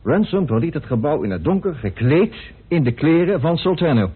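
A man's voice: a little high, sing-song, normal speed, husky, heavily acted. An actor playing a grim, indignant man in a radio play, speaking emphatically and accusingly. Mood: grave, insistent. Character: grim, indignant man bitterly revealing a hidden truth. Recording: muffled, narrow old radio recording.

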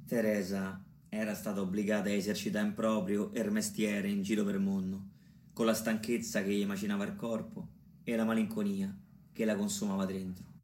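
An actor as a Roman storyteller, roomy and distant. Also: male voice, lowish voice, little pitch movement, normal speed, darkish tone, a little hiss or hum, close to an everyday voice. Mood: storytelling. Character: colloquial male storyteller of a bawdy tragicomic tale. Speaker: narrator. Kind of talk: theatrical storytelling in colloquial dialect. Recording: roomy distant voice over clear music. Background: music.

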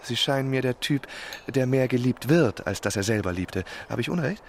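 A lovesick young aristocrat, played by a man in a radio drama, with a mid-pitched voice over faint ambient noise. He is effusive and self-pitying, pouring out his heart in genteel, faltering speech. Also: expressive, moderate pace, close to an everyday voice. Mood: infatuated, effusive. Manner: effusive, stammering gentility. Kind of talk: German radio drama acting.

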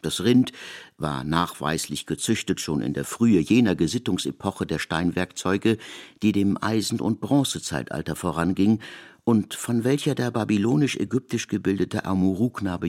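An actor playing the narrator of an ancient biblical saga in a radio play, with measured, ornate phrasing. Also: male voice, fairly low pitch, gravelly, a little mellow. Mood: measured, erudite.

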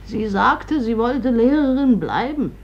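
An actress playing an older, grieving mother in a radio drama, plain-spoken, measured and resigned. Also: fairly high pitch, expressive, exaggerated voice. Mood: earnest, resigned. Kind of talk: German stage-like radio drama acting. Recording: dull old radio drama recording.

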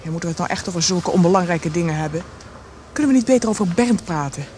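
An actress playing a guarded, grieving daughter in a radio drama, evasive and formal in manner. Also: female voice, lowish voice, animated, fast, warm tone, natural voice. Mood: evasive, impatient. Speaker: actor in a radio play. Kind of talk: Dutch radio drama acting. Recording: clearly noisy drama recording.

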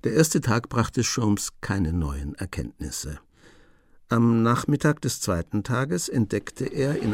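Slow, low-voiced male narrator. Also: a little mellow, slightly rough, no echo. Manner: measured, storytelling. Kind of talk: scripted radio drama narration.

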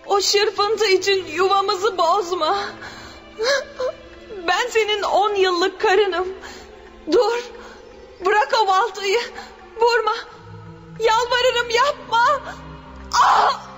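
A sobbing wife pleads in a very high, drawn-out voice. She sounds far off, with music under her. It is old radio drama. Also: fairly even intonation, a bit bright, full character voice. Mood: desperate pleading. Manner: crying, begging, very high and slow. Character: pleading murdered wife, ten years married. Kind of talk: classic radio drama acting.

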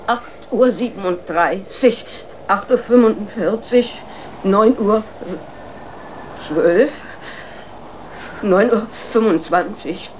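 A woman's voice: mid-range voice, takes it slowly, pauses often, darkish tone, slight background noise, close to an everyday voice, low-quality recording. A woman playing a matter-of-fact queen and mother in a children's radio play, speaking with dry deliberation.

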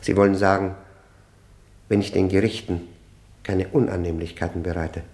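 An actor playing a proud, embittered, middle-aged convict of education, speaking formally and haltingly in a vintage radio play. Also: male voice, low-pitched, flat delivery, darkish tone, natural voice. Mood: proud, wary. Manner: halting, deliberate, formal, grave. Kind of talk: mid-century German radio drama acting. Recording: roomy 1960 radio drama studio.

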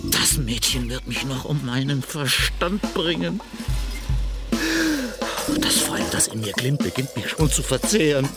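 Radio opera villain, played by a man: sly lovesick servant, slow and theatrical, wildly varied pitch, over loud music. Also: lively intonation, natural voice. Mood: smitten, aggrieved.

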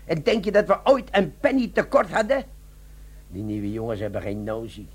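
An actor playing a wily, brazen army sergeant, a chummy smooth talker in a comic radio play. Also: male voice, fairly high pitch, moderate pace. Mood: grumbling, wheedling. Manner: cheeky, chummy, feigned innocence.

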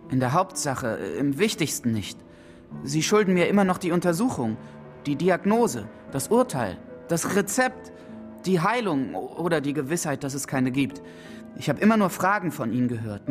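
A desperate, pleading young patient. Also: male voice, fairly high pitch, big pitch swings, moderate pace, faint music behind. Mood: accusing, desperate. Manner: pleading, accusing, insistent. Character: desperate, ailing young man confronting his doctor. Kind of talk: German radio drama acting.

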